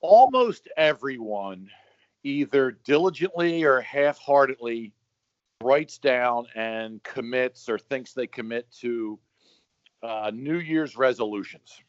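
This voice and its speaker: A middle-aged man co-hosting a podcast, telling stories in a lively, joking, casual way. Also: medium pitch, slow pace, no echo. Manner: animated storyteller, joking. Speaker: podcast co-host. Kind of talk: casual chat. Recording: dry, slightly dull sound.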